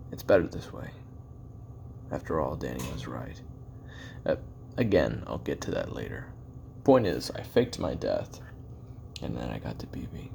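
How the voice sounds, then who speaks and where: Very deep, gravelly man acting as weary ex-assassin. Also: darkish tone, slight background noise, heavily acted. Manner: halting, musing, trailing pauses and self-corrections. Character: weary, regretful male ex-assassin taping his memoirs. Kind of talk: audio roleplay monologue, confessional and conversational.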